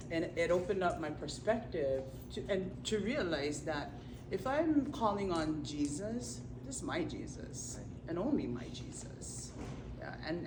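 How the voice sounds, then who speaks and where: A speaker sharing a personal story at a community talk, earnest and halting, with fillers and restarts. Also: medium pitch. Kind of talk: personal testimony. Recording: roomy, distant, noisy room recording.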